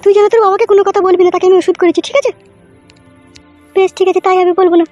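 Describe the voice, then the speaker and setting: A village woman from a comedy cartoon, acted out by a woman, speaks in an extremely high, small voice. She rattles along very fast in insistent, colloquial rural speech, anxious and scheming, with music behind her. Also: level tone, dark tone, lo-fi sound. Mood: confiding. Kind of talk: Bengali comedy cartoon dialogue.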